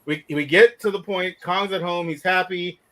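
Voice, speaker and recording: A man co-hosting a casual chat over a video call, animated and incredulous, often cutting himself off. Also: high-pitched, normal speed, low-quality recording. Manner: animated, incredulous, self-interrupting. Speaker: podcast co-host.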